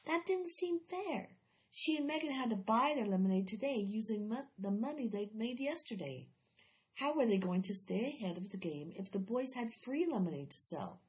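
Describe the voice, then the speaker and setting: A woman's voice: on the high side, normal speed, dull audio. Woman reading a children's book aloud. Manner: expressive, animated storytelling. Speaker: storyteller.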